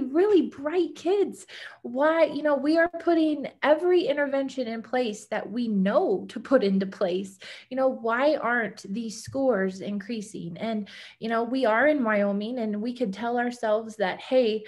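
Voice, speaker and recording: A woman, a teacher speaking earnestly on a video call. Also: fairly high pitch. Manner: earnest, grateful. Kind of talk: casual video call panel. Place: home room with yellow walls. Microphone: laptop webcam on video call.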